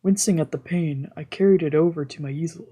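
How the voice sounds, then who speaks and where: A woman streamer with a soft-spoken, subdued manner, narrating a visual novel aloud during a let's play. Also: low-pitched, a little fast, husky. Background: game music.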